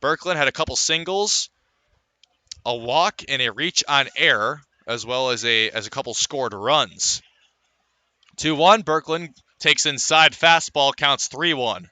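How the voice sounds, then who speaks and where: A man's voice: medium pitch, measured pace, bright, forceful tone, no echo. A man calling live baseball play-by-play in a radio commentary style, filling gaps with player statistics.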